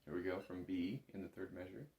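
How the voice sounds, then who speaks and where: Low-voiced man teaching in an echoey room. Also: fairly even intonation, a little fast, vocal fry, heavy background noise. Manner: patient, informal, step-by-step. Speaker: bagpipe instructor. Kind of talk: instructional music lesson to camera.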